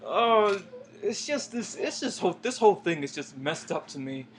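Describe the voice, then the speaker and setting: A man's voice: high-pitched, sing-song, normal speed. A young man chatting casually about an anime, hesitant and trailing off at times. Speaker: co-host. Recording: faint background noise.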